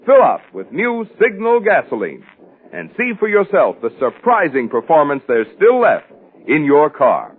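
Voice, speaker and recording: A man's voice: a little high, huge pitch swings, moderate pace, slightly rough. A man reading a commercial as an announcer in the style of 1940s radio advertising. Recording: muffled, band-limited 1940s radio recording.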